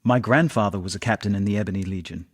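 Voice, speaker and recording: A man playing a devoted, defensive former legion soldier in scripted video game dialogue, blunt and argumentative, with a husky voice. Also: fairly low pitch, fast. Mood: confiding, devoted.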